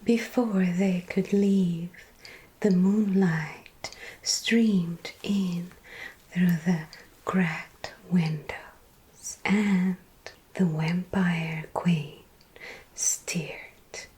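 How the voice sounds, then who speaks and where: A woman whispering scary bedtime stories in a soft ASMR roleplay as a vampire storyteller. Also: lowish voice, steady tone, slight background noise. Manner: soft unvoiced whisper, slow and drawn-out.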